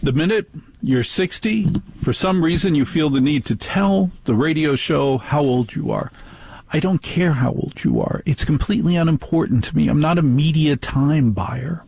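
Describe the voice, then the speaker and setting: Gravelly radio host guy ranting away, dry close sound. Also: fairly high pitch, lively intonation, moderate pace, a little mellow, no room sound. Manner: ranting, mocks others in put-on voices. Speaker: radio talk show host. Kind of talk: rambling radio monologue.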